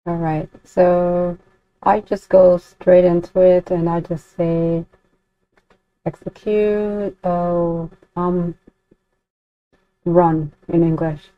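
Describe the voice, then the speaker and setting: A woman giving an unscripted software walkthrough as a tutorial presenter, informally thinking aloud with some hesitation. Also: on the low side, flat delivery, drawn-out words, long pauses, dry recording. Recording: dull, lo-fi, dry close recording.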